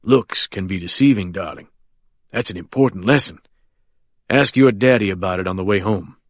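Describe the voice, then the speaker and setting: A man voicing a suave, confident older male lawyer who talks in an unhurried, smooth, teasing way. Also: deep voice, no echo, clean studio sound, pitched below the performer's own voice. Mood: reassuring.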